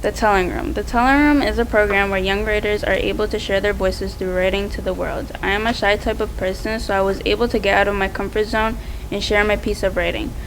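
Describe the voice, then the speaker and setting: A woman's voice: mid-range voice, fairly even intonation, fairly quick, low-quality recording. Teenage female student, prepared personal speech, mid-range voice, faint noise behind. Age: teens. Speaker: student award presenter.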